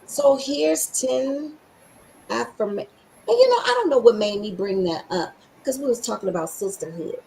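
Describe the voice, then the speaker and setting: A middle-aged woman hosts a podcast in a scratchy, slightly high voice. She talks in a sassy, emphatic way and preaches with plenty of slang. Her delivery stays punchy and direct. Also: sing-song, moderate pace, creaky, a little mellow. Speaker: podcast host. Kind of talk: casual motivational chat.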